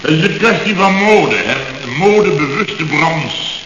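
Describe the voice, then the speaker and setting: A dull, hissy vintage radio recording in a reverberant room. A blustering company boss talks in impatient, exclamatory scraps, his male voice sitting at an average pitch. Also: lively intonation, unhurried. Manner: blustering, clipped fragments, exclamatory. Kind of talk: Dutch radio comedy acting, around 1970. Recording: echoey, dull, noisy old radio recording.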